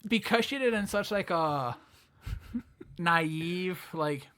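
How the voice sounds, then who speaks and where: A man's voice: high-pitched, expressive, slow, bright, forceful tone. A man, a comedian guest, telling stories in casual podcast chat, often restarting and repeating his phrases.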